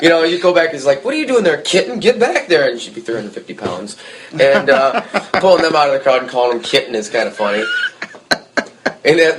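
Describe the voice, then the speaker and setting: A man with a fairly low voice tells animated stories from the stage, tossing in jokes as he goes. A faint hum of room ambience sits behind him. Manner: storytelling, animated, jokey asides. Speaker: guest musician, bandleader. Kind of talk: casual podcast conversation.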